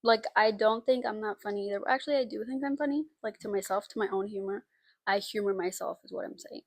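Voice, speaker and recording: A woman's voice: medium pitch, fast, dry recording. A young woman from Costa Rica with a mixed Costa Rican and Spanish accent, chatting to camera at home in a rambling, self-deprecating way with lots of fillers. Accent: mix of Costa Rican and Spanish from Spain. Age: young adult. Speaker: vlogger. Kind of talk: casual chat to camera. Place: home room. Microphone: camera mic.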